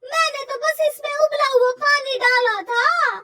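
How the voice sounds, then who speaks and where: A woman voicing a stubborn old village mother-in-law in a cartoon story, exclaiming loudly in rustic speech. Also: very high voice, measured pace, pitched above the performer's own voice, exaggerated voice. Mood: scolding.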